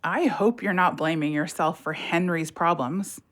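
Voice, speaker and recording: A woman's voice: fairly low pitch, unhurried, a bit bright. A woman playing a blunt, supportive friend and working single mother in an audio drama, frank and colloquial. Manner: frank, colloquial, exasperated but encouraging.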